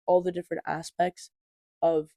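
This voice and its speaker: A woman's voice: fairly low pitch, level tone, normal speed, dark tone, dry recording, studio quality. An eighteen-year-old woman with a stuffy nose from a cold, chatting casually as a podcast co-host and filling her talk with fillers. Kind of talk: casual chat.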